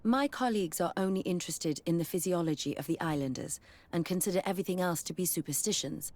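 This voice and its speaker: An actress playing a determined, wary scientist-explorer in a fantasy game, earnest and articulate. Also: female voice, lowish voice, fast, darkish tone, close to an everyday voice. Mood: composed. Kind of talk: scripted game cutscene acting.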